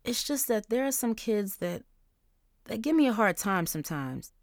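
A woman's voice: fairly high pitch, big pitch swings, moderate pace, no room sound, clean studio sound, pitched above the performer's own voice. A woman voicing an upset, defensive fourteen-year-old girl who pleads and then lashes out angrily. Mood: pleading. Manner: pleading, then angry and blurting.

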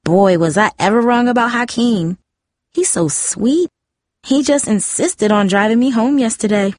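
A woman's voice: fairly high pitch, measured pace, darkish tone, voice pushed up, lightly acted. A woman voicing a catty, mocking teenage girl in an audiobook, with a snide, sing-song manner. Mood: gloating.

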